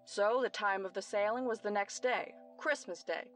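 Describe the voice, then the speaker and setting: A woman's voice: mid-range voice, moderate pace, soft background music, natural voice. An actress playing a brilliant young woman detective, explaining her deductions precisely in old-time radio mystery style. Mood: intent, explanatory.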